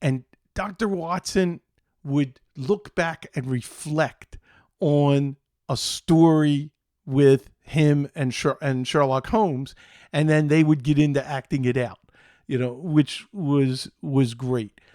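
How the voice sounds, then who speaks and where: A middle-aged man from Philadelphia with an American accent, hosting a casual podcast in a halting, meandering, enthusiastic way. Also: a little high, steady tone, a little mellow, no echo, clean studio sound. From Philadelphia, United States. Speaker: show host.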